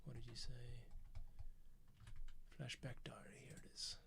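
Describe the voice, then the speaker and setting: A man's voice: mid-range voice, fairly even intonation, unhurried, vocal fry, in a whisper. A man casually thinking aloud in fragments as he works as an audio editor. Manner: muttering to self in fragments. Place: at a computer workstation. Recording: faint noise, keyboard and mouse clicks.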